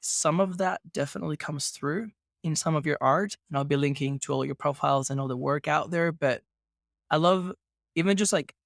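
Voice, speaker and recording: A man's voice: a little high, normal speed, gravelly, no echo, clean studio sound. A man hosting a podcast interview, talking through his ideas and building his points with rhetorical questions. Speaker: podcast host. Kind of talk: casual podcast conversation.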